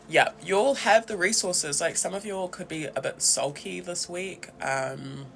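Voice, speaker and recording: Kiwi guy doing a chatty tarot reading, lots of fry, bit higher voice, thinks as he goes. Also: a little slow, a bit bright, slight background noise. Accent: New Zealand. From New Zealand. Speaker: tarot reader and host. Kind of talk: casual, conversational tarot reading.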